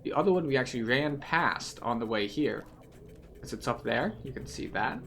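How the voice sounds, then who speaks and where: A man's voice: mid-range voice, lively intonation, normal speed, a bit bright, a little hiss or hum. Methodical man guiding through a game. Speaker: video game tour guide. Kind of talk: playthrough commentary.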